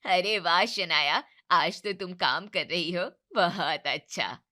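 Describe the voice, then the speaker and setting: A woman voicing a nosy middle-aged neighbor who speaks slowly and teasingly. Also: lowish voice, bright, forceful tone. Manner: slow, drawn-out, teasing.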